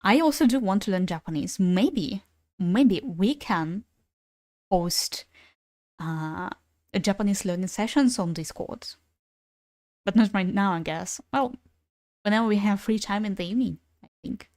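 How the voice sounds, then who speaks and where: A woman's voice: medium pitch, animated, unhurried, long pauses, a little mellow, no room sound. Young ukrainian-native woman chatting on stream, casual, swears a bit, dry close sound. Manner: chatty, swears casually, laughs between thoughts. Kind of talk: casual gaming stream chat.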